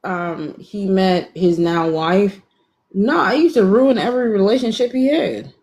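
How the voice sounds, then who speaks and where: A woman, calling in on her phone to a live stream and telling her own story frankly, in quick bursts, laughing at herself. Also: mid-range voice, a little mellow. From Atlanta, Georgia, US. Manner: frank, self-deprecating, talks in quick bursts. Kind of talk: candid casual storytelling.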